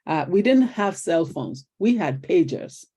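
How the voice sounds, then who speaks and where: A woman's voice: on the low side, a little slow, husky. An older woman from Nigeria with a Nigerian accent, a retired teacher telling stories as an interview guest. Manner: animated storyteller acting out quoted exchanges. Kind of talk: conversational interview storytelling.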